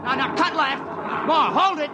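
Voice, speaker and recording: An actor playing a harried soldier barking short orders in a 1950s radio drama. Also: male voice, extremely high pitch, moderate pace, lo-fi sound, background noise. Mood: urgent, barking. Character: harried, shouting soldier bossing a loading crew.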